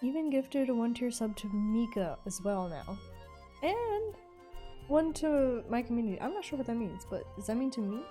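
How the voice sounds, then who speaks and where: A woman game streamer chatting informally and haltingly on a livestream, often repeating herself. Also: a little high, big pitch swings, dark tone, faint music behind. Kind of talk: casual livestream chat.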